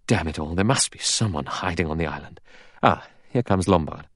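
A man's voice: sits low, lively intonation, a little fast, no echo, studio quality. A man voicing a worried, doubtful doctor, hesitant and a little impatient, with educated phrasing.

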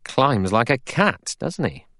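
A man's voice: low voice, sing-song, moderate pace, dry recording. A male reader acts out a former police inspector in an audiobook. He is suspicious and plain-spoken, grumbling in blunt colloquial phrases, the sound close and dry. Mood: suspicious, probing.

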